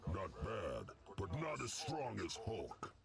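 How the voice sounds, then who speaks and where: A man's voice: lowish voice, wildly swooping pitch, takes it slowly, creaky, over music, exaggerated voice. A man voicing a huge, blunt, boastful monster brute in a video game. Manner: blunt, third-person boasting.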